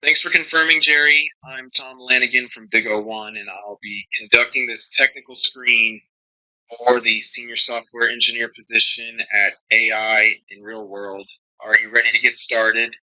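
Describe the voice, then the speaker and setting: Male recruiter voice, very sharp and bright, polite formal scripted call, muffled phone audio. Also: medium pitch, steady tone, normal speed. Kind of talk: scripted professional screening call.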